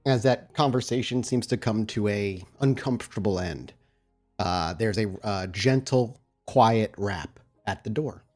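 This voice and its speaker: A man's voice: lowish voice, a little slow, darkish tone, soft background music. Descriptive male game master narrating.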